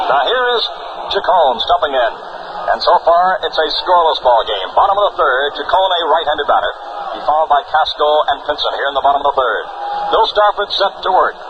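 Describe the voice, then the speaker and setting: An American man calling baseball play-by-play on a noisy, muffled 1960s radio broadcast. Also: fairly high pitch. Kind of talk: 1960s radio sports commentary.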